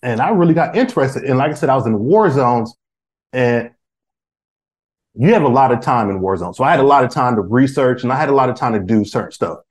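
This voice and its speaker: A man's voice: medium pitch, lively intonation, quick pace. A man in his forties, a podcast guest, chatting casually with lots of restarts, fillers and slang. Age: 40s. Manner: rambling, many restarts and fillers; uses street slang. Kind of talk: casual chat.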